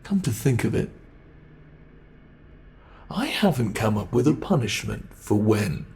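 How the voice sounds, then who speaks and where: Slow, gravelly sadistic monster, played by a man. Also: fairly low pitch, sing-song, slight background noise, heavily acted. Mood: musing cruelty. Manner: slow, taunting, savoring menace with soft rhetorical questions. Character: sadistic male monster gloating over captive human prey. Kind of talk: ASMR horror roleplay.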